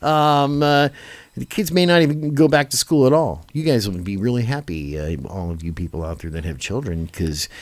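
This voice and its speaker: A man's voice: mid-range voice, moderate pace, no room sound. A man hosting a casual live panel chat, rambling and thinking aloud as he puts questions to the others. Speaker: radio show host. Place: radio studio.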